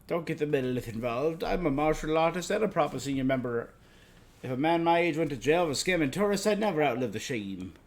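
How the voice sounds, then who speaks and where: A man's voice: fairly high pitch, lively intonation, a little fast. A man reading game dialogue aloud as a proud, upright old martial arts master, earnest and formal.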